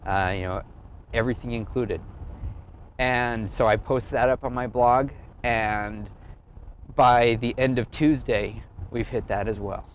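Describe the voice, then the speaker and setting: A man's voice: medium pitch, steady tone, a little slow. Earnest man telling his story, outdoor noise. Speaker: amateur cyclist and blogger being interviewed. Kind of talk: casual personal storytelling to camera.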